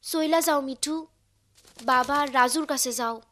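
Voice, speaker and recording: A woman voicing an earnest, gentle young village girl telling her story in a cartoon, with a rural Bangladeshi accent. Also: sits high, a little slow, slight background noise. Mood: subdued.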